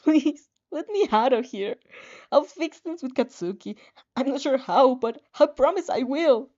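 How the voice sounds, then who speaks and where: A woman's voice: high voice, lively intonation, measured pace, warm tone, pitched above the performer's own voice. A woman voicing a frightened young female captive in a story, pleading desperately for help in a stammering cry. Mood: whimpering, pleading. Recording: dull, slightly muffled lo-fi audio.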